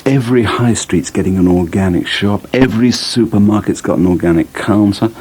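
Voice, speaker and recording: An actor in a British radio soap playing a laid-back ex-hippie organic produce dealer who talks in casual slang. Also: male voice, on the low side, fairly quick, a little mellow, husky. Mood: breezy, confident.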